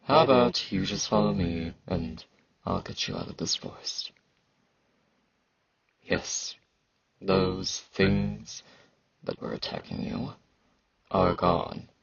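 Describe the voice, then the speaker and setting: A man playing a very gravelly, low-voiced awkward knight. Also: no room sound, lo-fi sound, full character voice. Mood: awkward, reserved. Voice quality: sibilant S sounds. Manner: halting, hesitant, dryly dismissive. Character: blunt, awkward male fantasy knight, enhanced warrior soldier. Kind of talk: intimate ASMR roleplay monologue.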